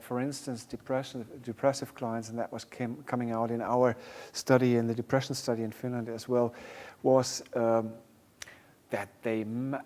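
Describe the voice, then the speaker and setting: A man with a German accent talks through his research at a middling pitch, stopping and restarting as he looks for the right words. His voice carries a long reverb, as if it were recorded in a large hall. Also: mellow. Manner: hesitant, searching for words with many restarts. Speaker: music therapy researcher. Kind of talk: panel discussion.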